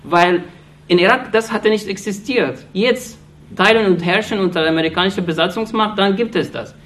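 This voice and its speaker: A man from Iraq gives an impassioned political speech in halting German, restarting phrases often and sounding earnest and accusatory. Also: high-pitched, a bit bright. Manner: halting non-native German, frequent restarts and fillers. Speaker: speaker addressing an audience.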